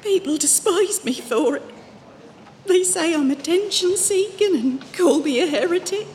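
Woman playing a high-voiced, fervent religious visionary. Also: animated, a little slow, a little mellow. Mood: fearful. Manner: earnest, emotional, pious. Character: fervent, fearful wandering female religious visionary. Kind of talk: audio drama acting. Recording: reverberant hall, faint background noise.

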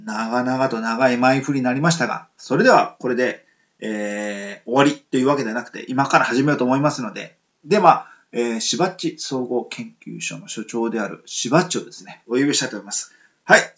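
Male radio host, chatty, filler-heavy, animated pitch. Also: brisk, muffled recording. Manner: lots of filler words, lively rising pitch when excited. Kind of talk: late-night casual radio chat.

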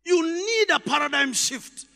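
A man preaching a sermon in a church, shouting emphatic lines and then dropping his voice low, repeating rhetorical questions to the congregation. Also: lively intonation, a little slow, gravelly, in a large hall. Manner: repetitive call-and-response questioning.

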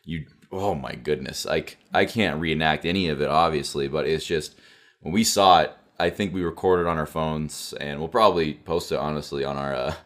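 Young man, podcast co-host, very low pitch, excitable casual chat. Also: normal speed. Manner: excitable, filler-heavy.

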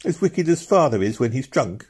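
A man's voice: mid-range voice, big pitch swings, normal speed, darkish tone, husky, pitched above the performer's own voice. A man voicing a small boy full of questions. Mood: wondering. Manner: wondering, questioning. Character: small earnest young boy, curious and anxious.

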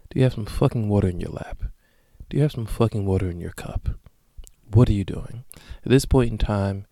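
A man in his late twenties hosting a solo podcast, talking casually and profanely with sudden loud bursts. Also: fairly low pitch, no room sound, studio quality. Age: late 20s. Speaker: podcast host.

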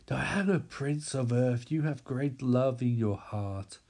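A man's voice: medium pitch, takes it slowly, darkish tone. A man voicing a power ring from a comic book that proclaims its chosen bearers in solemn formulas. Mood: proclaiming.

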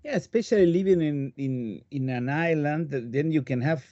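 A man's voice: a little high, normal speed, dark tone, dry recording. A man co-hosting a podcast interview, asking questions in a hesitant, thoughtful way. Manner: hesitant, thoughtful, self-doubting. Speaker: podcast co-host. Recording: dry, dull lo-fi audio.

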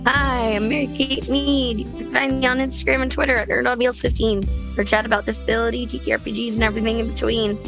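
A somewhat high-voiced woman speaks in an even, flat tone, quick and matter-of-fact as she introduces herself. Music plays clearly behind her. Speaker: player.

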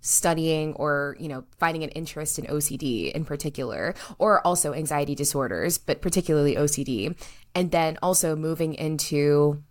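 A woman hosting a podcast, asking long, rambling questions full of filler words. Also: deep voice. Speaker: podcast host. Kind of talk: casual interview talk.

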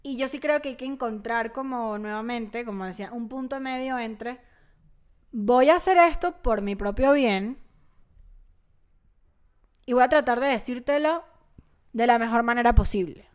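Mexican woman hosting a podcast, voice a bit high, chill and chatty. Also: normal speed, pauses often. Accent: Mexican Spanish. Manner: chatty, reads aloud, relaxed. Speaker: podcast host. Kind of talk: casual chat.

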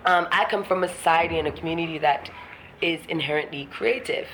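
A woman's voice: deep voice, brisk, fairly bright tone, slight background noise, low-quality recording. A woman from Ghana talking frankly and reflectively in an interview, laughing often and swearing casually. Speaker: guest artist.

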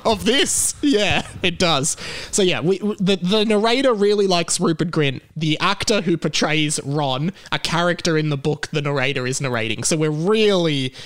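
A man with a distinctly high-pitched voice hosts a casual, comedic podcast. He chats and explains small details with mock earnestness. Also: expressive, moderate pace, fairly bright tone. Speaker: podcast host.

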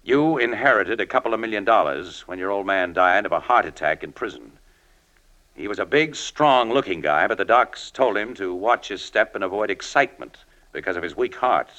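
Low-voiced, hard-edged American newspaperman. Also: expressive, moderate pace, bright, forceful tone, rough recording. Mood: cool, needling. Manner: slangy, cool, needling. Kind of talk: 1940s radio drama acting.

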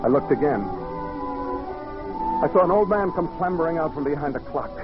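Anxious poet narrator, fairly high voice, literary radio-drama delivery, muffled old recording with music behind. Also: male voice, expressive, measured pace. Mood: haunted, fearful. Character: nervous, sensitive male poet recounting an eerie encounter.

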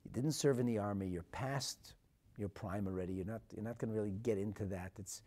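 A man with a North American accent giving a religious sermon as a rabbi, emphatic and dramatic, phrasing deliberately and repeating for effect. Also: lowish voice. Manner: emphatic and dramatic, swinging from hushed asides to loud declarations; deliberate phrasing with rhetorical repetition. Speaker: rabbi and Torah teacher.

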